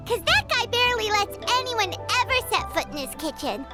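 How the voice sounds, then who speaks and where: A woman voicing a tiny, perky, childlike fairy companion in a video game, excitable and fond of money. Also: normal speed. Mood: curious. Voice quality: squeaky, childlike. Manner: perky, speaks of self in third person. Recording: music playing behind the voice.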